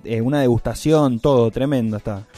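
A man's voice: mid-range voice, fairly quick, warm tone. Brief: upbeat Argentine man hosting a radio show, faint music behind. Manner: upbeat, teasing, runs a call-in contest. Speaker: radio host. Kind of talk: lively radio show chat.

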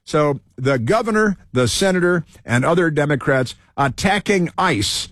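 A man's voice: on the high side, expressive, measured pace, fairly bright tone, no echo, clean studio sound. Sarcastic combative male commentator. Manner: sarcastic, combative, many fillers. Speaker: political commentary host. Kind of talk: monologue reading from a list.

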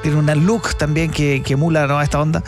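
Quick Chilean male host over music. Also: fairly high pitch, flat delivery. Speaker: radio show host, interviewer. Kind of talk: casual conversational interview.

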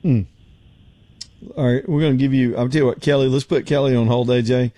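A man hosting a talk radio show, hoarse and rambling, with lots of fillers and restarts. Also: mid-range voice, steady tone, a little fast, warm tone, dry recording. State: getting hoarse. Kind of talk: casual talk radio with live read ads.

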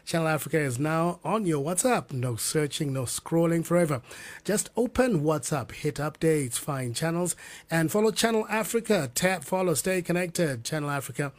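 A man's voice: on the high side, lively intonation, moderate pace. A man hosting a radio talk show, upbeat, with some fillers and restarts. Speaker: radio host.

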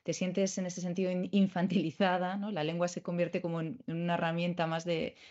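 A woman interviewer speaks in a reflective, searching way and often restarts her phrases. Her voice sits a little low and sounds mellow. The audio is somewhat dull and lo-fi. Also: completely flat delivery, brisk. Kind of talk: conversational interview.

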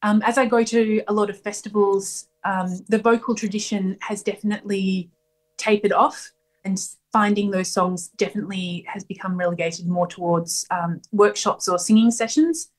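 A woman folk singer answering interview questions thoughtfully, pausing often with fillers. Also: mid-range voice, steady tone. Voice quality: strong voice. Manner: thoughtful, hesitant with many ums. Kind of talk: radio interview, casual answers.

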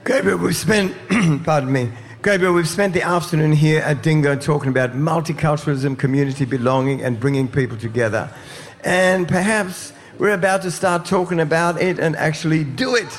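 A man's voice: fairly high pitch, fairly quick. A man interviewing a guest on community radio, asking long questions and wrapping up in an upbeat, promotional tone.

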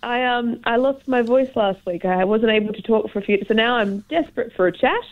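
An Australian woman in a friendly on-air radio chat, laughing easily. Her voice sits fairly high and the sound is a little dull and muffled. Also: a little fast. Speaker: radio news presenter.

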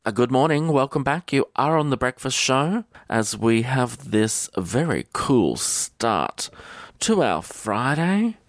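A man with an Australian accent hosting breakfast radio in a relaxed, chatty way, his voice a little croaky. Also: medium pitch, takes it slowly, no room sound, clean studio sound, faint music behind. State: slightly croaky voice. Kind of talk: casual radio chat.

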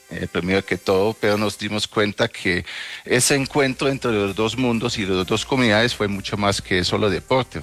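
A middle-aged man from the Netherlands, a native Dutch speaker, answering interview questions conversationally with halting, hesitant phrasing. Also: on the low side, a bit bright. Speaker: interview guest, travel agency owner.

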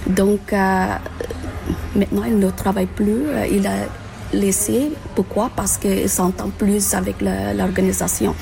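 A woman from the Philippines, answering an interview at a climate event in halting speech full of fillers and restarts. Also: medium pitch, flat delivery, vocal fry, music in the background, low-quality recording. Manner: halting, many fillers and restarts, mixes in English terms. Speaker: conference participant.

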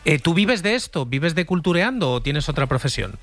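A man's voice: a little high, sing-song, brisk, a bit bright. A man co-hosting a radio show, talkative and joking with the guest. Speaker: radio co-host. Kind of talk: radio chat.

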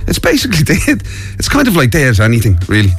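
A man's voice: medium pitch, wildly swooping pitch, very fast, soft background music. An Irish man hosting a morning radio show in a studio, his voice rising and falling a lot as he chats. He giggles often and fills his sentences with ums and uhs. The talk is loose, joking banter. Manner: chatty, giggly, lots of fillers. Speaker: radio host.

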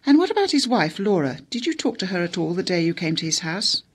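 A woman voicing a composed, sympathetic police interviewer who questions gently, in an audiobook. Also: lowish voice, very animated, quick pace, breathy edge. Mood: gentle, probing. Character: cool, detached but sympathetic female police interviewer. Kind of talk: audiobook character dialogue.

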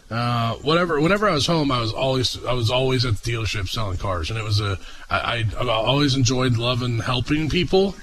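Halting young man, bright voice. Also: medium pitch, poor audio. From Oklahoma. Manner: halting, many filler sounds. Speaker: guest, auto dealership executive. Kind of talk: radio interview.

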